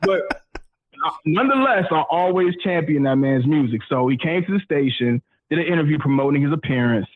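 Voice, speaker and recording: A man's voice: on the high side, animated, a little fast. Male radio guest, casual self-mocking storyteller, slangy delivery, telephone-quality sound. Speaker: radio personality being interviewed as guest. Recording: thin phone-like audio.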